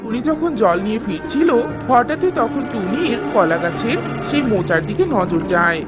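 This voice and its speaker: A woman's voice: fairly high pitch, sing-song, normal speed, loud music behind the voice. A woman narrating a scripted fairy tale for a cartoon as the storyteller.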